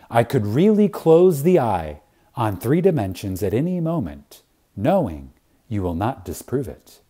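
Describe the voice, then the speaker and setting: A husky-voiced man gives a dramatic reading of a spiritual lecture. His pitch rises and falls widely, and he moves very slowly, leaving deliberate pauses and letting phrases fade softly at the ends. The delivery is reverent and full of emphasis.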